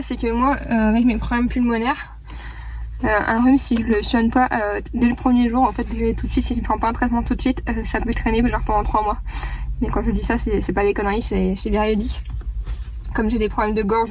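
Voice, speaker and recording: A woman's voice: a little high, a little mellow, echoey room, slight background noise, low-quality recording. A young woman with a cold and a stuffy nose, chatting casually and rambling through a daily vlog at home. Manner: chatty, rambling, many fillers and self-corrections. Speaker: vlogger.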